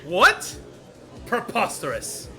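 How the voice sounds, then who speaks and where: A proper, indignant prosecutor from a video game, acted out by a man in a fan dub. The voice sits fairly high and swings dramatically, with formal, emphatic phrasing. Light music plays behind. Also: sing-song, slow, creaky, heavily acted. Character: proper, indignant male prosecutor accused of murder.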